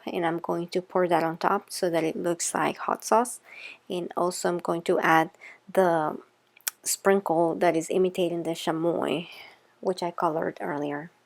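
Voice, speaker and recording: A woman with a Salvadoran Spanish accent narrates a step-by-step craft tutorial. Her voice sits a little low and has a noticeable creak. She sounds like a maker presenting her own scripted how-to. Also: a little mellow. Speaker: craft video host, soap maker.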